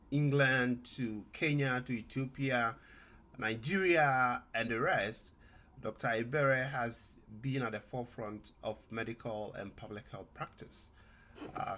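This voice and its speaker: Slow, deliberate male podcast host. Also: medium pitch, a bit bright, a little hiss or hum. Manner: slow and deliberate, reading a prepared intro with many fillers. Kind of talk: prepared podcast introduction and questions.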